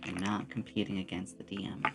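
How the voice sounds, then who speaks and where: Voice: low-pitched, level tone, brisk, gravelly, loud music behind the voice. A streamer chatting casually with viewers in an ASMR stream, rambling along like a storyteller. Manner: rambling storyteller, acts out short quotes. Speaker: streamer, VTuber host.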